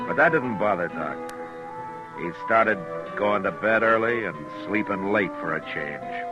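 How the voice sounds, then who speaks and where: A steady frontier lawman with a very deep voice, from a 1950s radio western. He is laconic and plainspoken, easygoing whether he narrates or talks. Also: dull audio. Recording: 1950s radio drama recording.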